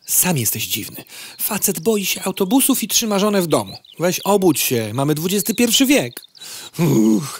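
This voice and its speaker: A woman's voice: deep voice, a little hiss or hum, exaggerated voice. A woman playing a hungry female housefly from the city, politely hesitant or sardonically grumbling, in a comic audio drama. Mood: sarcastic, annoyed. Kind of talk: audio comedy drama acting.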